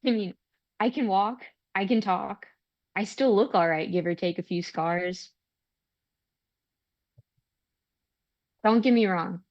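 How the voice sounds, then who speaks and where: A dry, slightly dull recording of an actress playing a kind young woman at a table read. She is earnest and relentlessly optimistic, cheerful even when she hesitates over her words. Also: medium pitch, dry recording, natural voice. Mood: hopeful, warm. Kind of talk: script table read, naturalistic acting.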